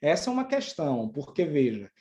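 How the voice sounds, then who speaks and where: A man's voice: fairly high pitch, very animated, mellow. A man with a Bahian accent, a judge, gives courteous closing remarks and chats over a video call, often restarting his sentences. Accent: Bahian Portuguese. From Brazil, born in Sergipe, raised in Salvador. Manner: courteous, speaks in halting restarts. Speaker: judge giving a closing lecture. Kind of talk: formal closing remarks and conversation.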